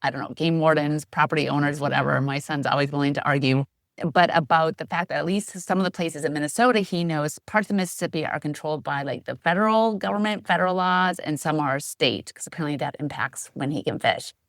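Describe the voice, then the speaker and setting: A woman's voice: deep voice, brisk, dry recording, studio quality. A middle-aged woman co-hosting a podcast interview, talking loosely and thinking aloud with fillers. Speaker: podcast co-host. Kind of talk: conversational interview.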